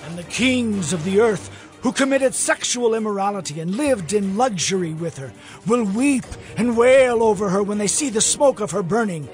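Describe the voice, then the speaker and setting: A man narrates an audio Bible drama with solemn intensity. His voice is very high for a man, and music plays clearly behind it. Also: lively intonation, moderate pace.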